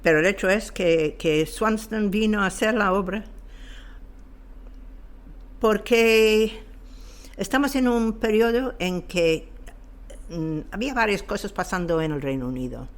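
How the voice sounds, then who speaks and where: A man giving an informal historical explanation as an interview guest, halting and searching for his words. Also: high voice.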